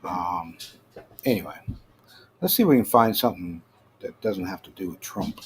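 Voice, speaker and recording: A man's voice: low-pitched, lively intonation. American man rambling over noticeable background noise. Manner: informal, halting, trails off mid-thought. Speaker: host of a personal rant show. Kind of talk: casual rambling news commentary. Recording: clearly noisy amateur home recording.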